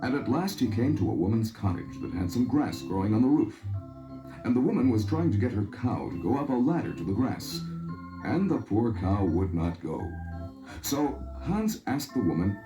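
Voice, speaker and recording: Guy telling a fairy tale, really lively, music behind, echoey old record. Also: fairly low pitch, normal speed. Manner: expressive storytelling. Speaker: narrator. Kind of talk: scripted children's fairy-tale narration. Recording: echoey vintage story record.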